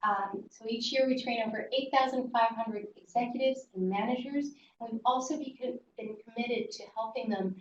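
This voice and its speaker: A woman presents slides in a halting, informal way. Her mid-pitched voice comes from a little way off the microphone, in an echoey room with a slightly dull sound. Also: a little mellow. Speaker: webinar presenter for a business school. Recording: muffled, roomy, distant mic, faint noise.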